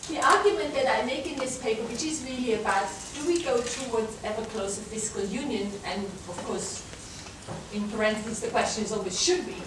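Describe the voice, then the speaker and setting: A woman with a German accent gives a university talk, pausing on fillers and restarting her phrases as she goes. She is recorded from far off in a large, very reverberant lecture room. A steady hum of background noise sits under her voice. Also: fairly high pitch. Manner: hesitant, many fillers and restarts. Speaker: guest lecturer. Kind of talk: academic talk to students.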